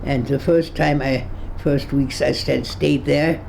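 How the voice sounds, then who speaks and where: Creaky-voiced woman over one hundred. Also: extremely low pitch, fairly even intonation, darkish tone, a little hiss or hum. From Berlin, Germany. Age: 100s. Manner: halting, searching for words with frequent restarts; dry humor, laughs easily. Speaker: interviewee. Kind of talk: recalling her past in an interview. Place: her home on a kibbutz.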